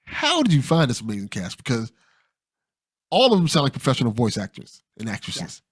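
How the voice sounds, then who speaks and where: A man's voice: medium pitch, wildly swooping pitch, a little fast, breathy edge. A man hosting a casual podcast chat, often repeating his first words as he starts a sentence. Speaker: podcast host, interviewer.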